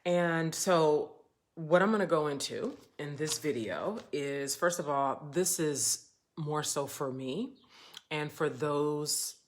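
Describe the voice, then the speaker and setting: A woman talking slowly and deliberately on a livestream as a spiritual teacher, with some passages dropped to a soft whisper. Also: sits low. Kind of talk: livestream monologue. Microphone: webcam or phone on camera.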